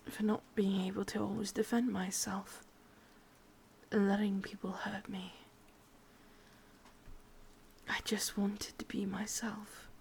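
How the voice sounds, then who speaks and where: A woman with an English accent voicing a possessive, sadistic yandere witch in breathy, close-up whispered ASMR roleplay. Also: mid-range voice, slight background noise. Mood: wounded, tender. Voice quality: breathy, husky. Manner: breathy whispering, slow intimate delivery. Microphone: close microphone.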